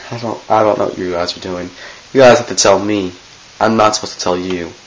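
A man's voice: fairly low pitch, steady tone, normal speed, fairly bright tone, muffled recording. A teenage boy recording a rambling, goofy birthday message on a webcam in his small bedroom. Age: teens. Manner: goofy, rambling, self-interrupting. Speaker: vlogger. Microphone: webcam close to face.

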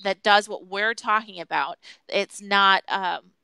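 A woman's voice: medium pitch, steady tone, a little slow, creaky, sharp tone, clear, resonant tone, no room sound. A woman in her thirties chatting informally as a guest, speaking into a headset on a video call. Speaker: guest, marketing consultant. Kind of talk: casual chat. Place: room at home, indoors.

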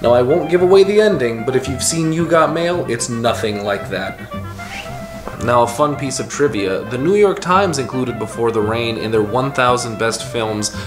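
A man in his thirties talks straight to camera from a couch in his living room, an eager, lively storyteller. The room sounds roomy, and clear music plays under his voice. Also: mid-range voice, normal speed. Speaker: video host. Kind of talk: casual explainer vlog.

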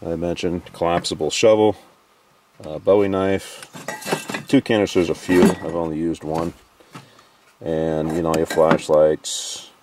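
A man in his forties at an outdoor lakeside campsite, casually walking through his camping gear in a relaxed, list-like way with lots of "uh" and "you know". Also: sits low, measured pace, pauses often, noisy background. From Maine, US. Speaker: canoe trip vlogger. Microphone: handheld camera.

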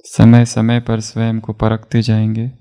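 A man guiding a relaxation meditation as an instructor, giving calm, measured instructions with long pauses. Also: on the low side, smooth. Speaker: yoga nidra meditation instructor.

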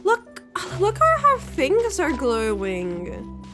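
A high-voiced woman gives casual game reaction commentary. Her delivery is exclamatory and extremely animated, with unhurried pacing and music playing behind her. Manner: exclamatory, emotive.

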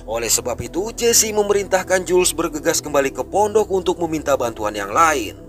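A man narrating a film recap in a brisk, scripted storytelling way. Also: on the high side, very animated, a bit bright, faint music behind. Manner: brisk storytelling, rising and falling delivery.

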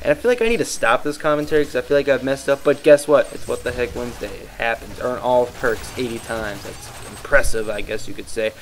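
A man's voice: mid-range voice, brisk, hardly any pauses, a little hiss or hum. A tired young man from the Midwest rambling casually as he commentates over gameplay, often losing his train of thought. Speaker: YouTube gaming commentator.

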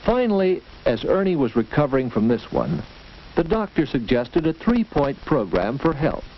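An American man narrating a scripted 1940s educational film in a measured, instructive storytelling manner, on an old film soundtrack. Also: fairly high pitch, sing-song. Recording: dull, noisy, clicking 1940s film soundtrack.

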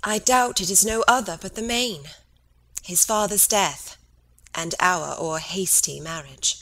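A woman's voice: lowish voice, a little slow, pushed and bright, full character voice, rough recording. An actress playing a dignified, courteous mature queen in a classical drama reading, formal and courtly. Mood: resigned.

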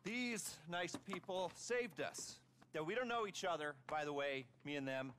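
An actor playing a flustered, secretive government agent in a video game. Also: male voice, fairly high pitch, lively intonation, unhurried. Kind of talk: video game cutscene acting. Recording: loud background noise.

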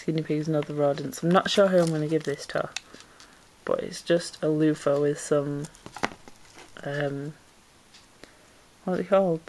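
A woman's voice: low-pitched, a little hiss or hum, low-quality recording. A woman chatting casually as she unboxes pet supplies at home, a little congested from a cold and rambling with ums. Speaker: pet vlogger. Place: indoors at home, camera pointed down into a box.

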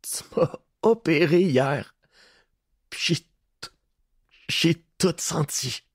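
A man's voice: mid-range voice, moderate pace, no echo, clean studio sound, pitched above the performer's own voice, lightly acted. A man voicing a terrified, exhausted captive man who begs for his life. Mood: desperate. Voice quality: gravelly. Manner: sobbing, panicked and begging.

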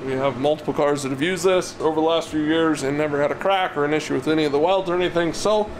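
A man in his thirties with a slightly high-set voice talks casually through his work, thinking aloud as he goes. He sounds informal, like a builder explaining a project to viewers. A clear, steady air noise from the workshop runs behind him. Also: quick pace. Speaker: car builder and vlog host. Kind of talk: casual hands-on build commentary. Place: metal-walled garage workshop, indoors. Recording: loud steady shop ventilation noise.

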